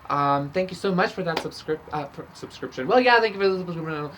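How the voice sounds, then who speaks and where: A woman's voice: fairly low pitch, quick pace, a little hiss or hum, low-quality recording. A woman, an Afrikaans speaker, giving a casual tarot reading in a chatty way, often ending thoughts with "okay?". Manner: chatty, lots of "like" and "okay?" tags. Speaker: tarot reader.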